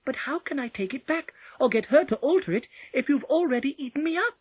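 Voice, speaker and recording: A woman's voice: high-pitched, expressive, brisk, no room sound, muffled recording, voice pushed up. A woman voicing a clever, cautious little storybook girl, cheeky, questioning and matter-of-fact. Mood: calm.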